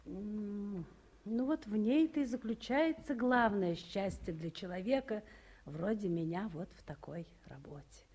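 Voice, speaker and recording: An actress playing a proud, weary middle-aged town official with gentle irony, in an old Soviet radio play, speaking in measured, pointed phrases. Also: female voice, mid-range voice, husky. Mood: reserved, dignified. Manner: measured, pointed, with meaningful pauses. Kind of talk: mid-century Soviet radio play acting.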